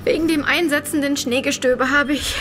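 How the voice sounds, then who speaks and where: A woman playing an injured, shaken doctor in a radio play, speaking haltingly and in pain. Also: high-pitched, steady tone, fairly bright tone, slight background noise. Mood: weak, shaken. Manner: halting, stammering, pained. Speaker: actor in an audio drama. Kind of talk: German radio play acting.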